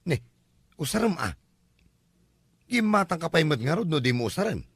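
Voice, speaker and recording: A man's voice: fairly high pitch, sing-song, moderate pace, breathy edge, dry recording, studio quality, exaggerated voice. A man playing a nosy, teasing Filipino visitor with an Ilocano accent in a comedy drama. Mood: complaining.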